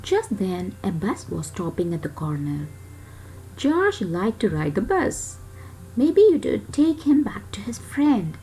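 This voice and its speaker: A woman reading a children's story aloud in an expressive way, with dramatic pauses and emphasis. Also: medium pitch, faint music behind, low-quality recording.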